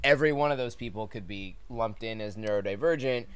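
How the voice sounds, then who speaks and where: A man hosting a podcast in a casual interview, friendly and quick to laugh. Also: on the low side, clear, resonant tone. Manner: friendly, laughs easily, asks questions. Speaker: podcast host.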